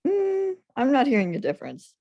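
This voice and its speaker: A woman's voice: high voice, huge pitch swings, fairly quick, gravelly, no room sound, dull audio, full character voice. A woman reading a curious, teasing teenage boy in screenplay table read dialogue, questioning and lightly incredulous.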